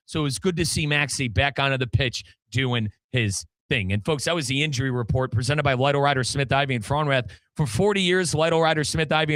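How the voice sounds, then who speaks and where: A man's voice: a little high, a bit bright, no room sound. A man hosting a sports radio show, talking in an emphatic way like a football commentator. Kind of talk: animated sports talk with sponsor reads.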